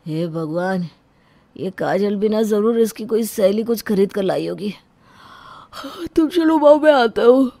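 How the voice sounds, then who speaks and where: A woman's voice: medium pitch, expressive, unhurried, gravelly, dark tone, no echo. Woman voicing exasperated young husband, dry sound. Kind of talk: Hindi cartoon story acting.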